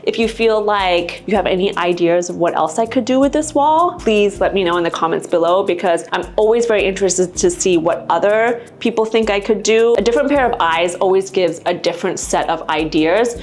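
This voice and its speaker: A woman's voice: mid-range voice, brisk, hardly any pauses, a little mellow. A woman vlog host from Singapore giving a casual, chatty house tour indoors, explaining things conversationally to camera. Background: background music.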